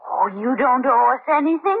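High-voiced young barmaid, old radio. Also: female voice, lively intonation, normal speed. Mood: eager, kindly. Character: kind, eager young barmaid. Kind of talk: old-time radio drama acting. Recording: tinny, band-limited old radio recording.

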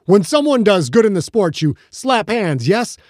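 A man voicing an exuberant figure who cheers in a high, excited voice. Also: normal speed, no room sound, studio quality. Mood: gleeful.

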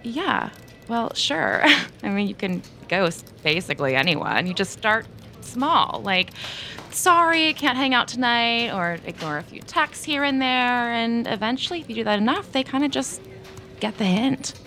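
An actress playing a breezy, self-absorbed young office gossip in an audio drama, gushing and slangy with drawn-out emphasis. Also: female voice, on the high side, big pitch swings, pushed and bright, soft background music, full character voice. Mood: breezy advice. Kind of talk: scripted audio drama acting.

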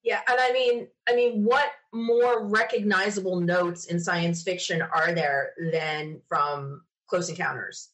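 A woman chatting casually as an interview guest, retelling things in an enthusiastic, animated manner. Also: on the low side, a little slow, few pauses, low-quality recording. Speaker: podcast interview guest, sci-fi podcaster. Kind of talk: casual conversational storytelling.

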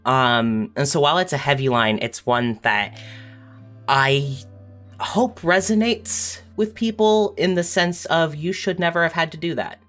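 A woman talking tenderly and earnestly as a writer in a heartfelt panel conversation, choosing words with deliberate care. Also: sits low, a bit bright. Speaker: audio fiction writer on a panel. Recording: music playing behind the voice.

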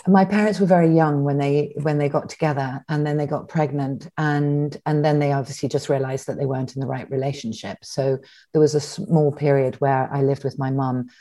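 A woman speaks as an interview guest. Her voice sits low and sounds warm and mellow. She pauses often, restarts her sentences and fills gaps with ums as she thinks back. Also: fairly even intonation. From England. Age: 60s. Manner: reflective, with many restarts and ums. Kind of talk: conversational interview.

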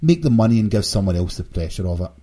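Low, mellow, husky-voiced male podcast host. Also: animated, fast. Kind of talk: casual gaming news discussion.

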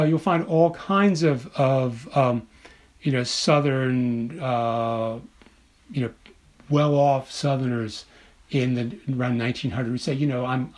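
A man speaking as an academic guest in an interview, thinking aloud haltingly and often repeating words for emphasis. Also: mid-range voice. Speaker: interview guest, author and literature professor. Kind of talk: scholarly interview conversation.

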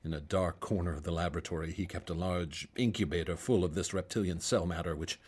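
A man's voice: deep voice, fairly even intonation, fast talker, darkish tone, slightly rough. An actor playing a haunted doctor who narrates his grim war past in a grave, weary, confessional way. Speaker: narrator and surgeon. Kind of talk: radio drama narration. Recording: radio play with music bed.

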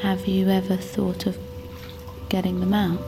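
A woman playing a soothing, quietly unsettling lover in audio fiction, gentle and measured in manner. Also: mid-range voice, completely flat delivery, gravelly, over music. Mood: soothing, probing.

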